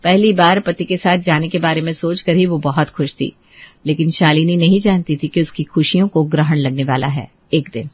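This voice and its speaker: A woman's voice: low-pitched, normal speed, dry recording. Woman storyteller, dry muffled track. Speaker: narrator. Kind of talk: scripted story narration.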